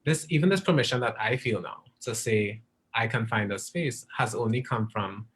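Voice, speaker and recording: A man's voice: mid-range voice, normal speed, fairly bright tone, husky. A man speaking as a panelist in a live discussion, asking questions in a tentative, searching way with many fillers.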